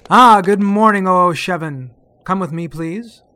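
An actor playing a fussy, protective gadget inventor in a spy spoof, earnest and theatrical. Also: male voice, high-pitched, a little slow, pushed and bright, slight background noise. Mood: curt, indignant.

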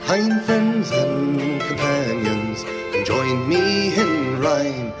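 A woman's voice: a bass voice, sing-song, drawn-out words. A woman singing a traditional folk drinking song. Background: loud acoustic string music.

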